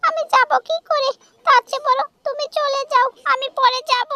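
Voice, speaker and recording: A performer voicing a naive, stubborn little goat kid in a children's cartoon, squeaky and childlike, with a wheedling manner. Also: fairly even intonation, normal speed, pushed and bright, slight background noise. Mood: overstuffed, whiny. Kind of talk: children's animated story dialogue.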